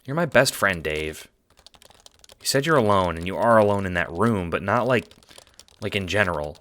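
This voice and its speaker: A man voicing an earnest, steady young best friend in audio drama dialogue. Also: sits low, moderate pace. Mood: gentle, reassuring.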